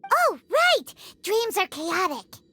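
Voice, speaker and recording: A woman voicing a small, excitable, easily confused fairy companion in an anime video game, with a squeaky childlike voice and exclamatory delivery. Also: slow pace, full character voice. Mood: curious, eager. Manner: speaks of self in third person, exclamatory.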